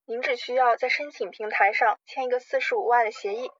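A woman playing a smooth-talking, scheming loan company manager with polite, formal sales patter in an amateur radio drama. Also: on the high side, fairly even intonation, a little fast, dry recording, muffled recording, lightly acted. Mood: persuasive, reassuring.

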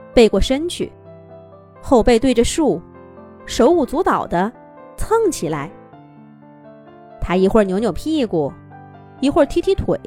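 A woman narrating a children's story in a gentle, expressive, singsong way. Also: a little high. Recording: soft background music.

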